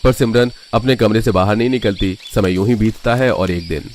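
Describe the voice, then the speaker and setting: A man's voice: on the low side, brisk, darkish tone, a little hiss or hum. A man reading scripted narration for a cartoon family story as the storyteller.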